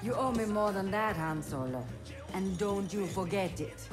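A woman's voice: mid-range voice, lively intonation, moderate pace, natural voice. An actress playing a shrewd old alien woman who keeps a tavern in a video game, wry and bossy. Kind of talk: cinematic video game acting. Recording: music under the voice.

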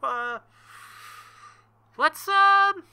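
A young man reading a visual novel aloud and commenting, animated and thinking out loud. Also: very high-pitched, extremely slow. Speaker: let's play host.

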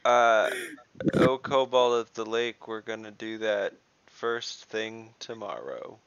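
A man's voice: on the low side, slow, fairly bright tone, clean tone. A man chatting casually with dry, joking delivery as a player in an online tabletop game. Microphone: video call. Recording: dull, band-limited video call audio.

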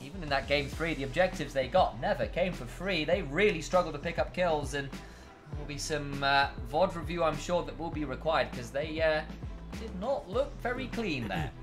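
A man co-casting a live esports match, calling fights rapidly and building to dramatic finishes. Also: a little high, expressive, music in the background. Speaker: esports co-caster. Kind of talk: play-by-play commentary.

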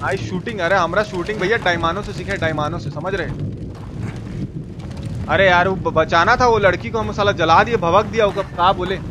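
A man playing a folksy, excitable Indian uncle with a Bihari Hindi accent, commenting on a game in crude, exclaiming slang for a live stream. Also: high voice, normal speed, background noise, natural voice. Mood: excited, regretful. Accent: eastern Hindi, Bihari. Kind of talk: live horror game commentary with chat replies.

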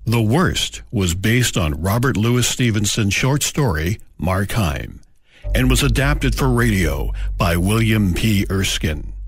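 A man's voice: lowish voice, expressive, slow, breathy edge, dry recording. A man reading scripted closing credits as a radio drama announcer. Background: music.

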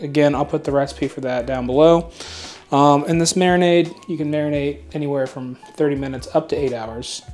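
A man in his thirties hosting a cooking video in his home kitchen, talking casually with lots of fillers and the odd swear word. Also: fairly high pitch. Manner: relaxed and chatty, many fillers, mild swearing. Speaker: cooking channel host.

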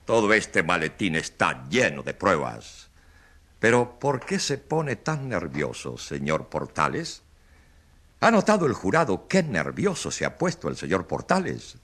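Pompous populist politician, forceful and swooping. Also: male voice, sits low, exaggerated voice. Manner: grandiose oratory with dramatic repetition. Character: pompous, evasive populist politician defending himself in court. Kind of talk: radio drama courtroom acting.

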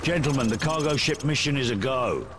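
A man's voice: medium pitch, lively intonation, a little fast, background noise, natural voice. A man playing a blunt, commanding British special forces captain in a military video game. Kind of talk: video game dialogue, giving orders.

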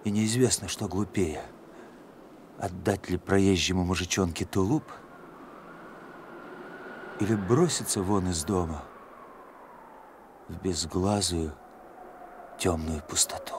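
An actor playing a weary, troubled young musician with polite, educated speech in a radio drama. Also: male voice, deep voice, slow pace, long pauses. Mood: brooding, anguished. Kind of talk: Soviet radio drama acting. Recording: noisy vintage radio drama recording.

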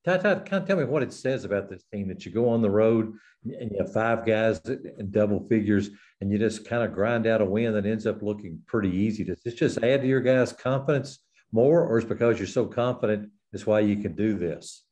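A man's voice: on the low side, brisk, a little mellow. A middle-aged male reporter asking a conversational question on a video call. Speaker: sports reporter. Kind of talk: press conference question.

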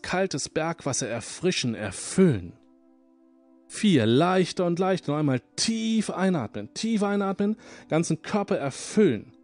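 A man guiding listeners through hypnosis at an unhurried, drawn-out pace, his voice calm and sitting at an ordinary pitch. He repeats phrases gently to lull the listener, while soft music plays faintly underneath. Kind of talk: guided radio hypnosis session. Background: soft background music.

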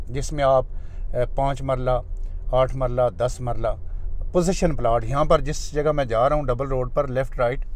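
Pakistani Urdu accent, man, real estate agent, chatty sales talk, halting fillers, recorded in a moving car. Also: medium pitch, a little mellow. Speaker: real estate agent giving a site tour. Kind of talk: casual narrated drive-through tour.

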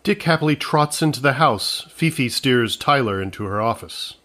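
A man narrating a scripted comedy in a measured, matter-of-fact way. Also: medium pitch, expressive, a bit bright.

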